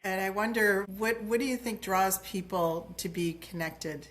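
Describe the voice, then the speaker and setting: A woman's voice: medium pitch, measured pace. A woman hosting an interview podcast, asking open questions in an encouraging way and often restarting her phrases. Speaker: podcast host.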